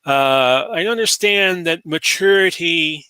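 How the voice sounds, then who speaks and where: A man's voice: fairly high pitch, slow pace, pushed and bright, clear, resonant tone, no echo. A man in his late forties from Florida, hosting a solo talk show in a rambling, casual way full of fillers and restarts. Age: 40s. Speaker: podcast host.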